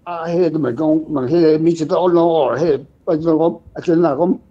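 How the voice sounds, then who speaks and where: A man with a Taiwanese accent casually discussing a story, halting as he thinks aloud. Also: a little high, steady tone, warm tone. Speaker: reading club discussion guest. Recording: dull lo-fi sound, guitar music. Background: guitar music.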